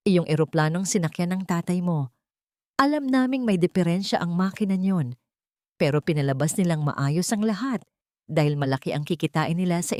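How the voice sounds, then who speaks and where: A woman narrator puts on a worn old mechanic who speaks in a hushed, cracking voice, frightened and full of grief. The voice stays fairly low, and the recording is close and dry. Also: dry recording, lightly acted. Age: older. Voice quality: voice cracking with emotion. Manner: hushed, pleading. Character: aging male mechanic, grieving and fearful.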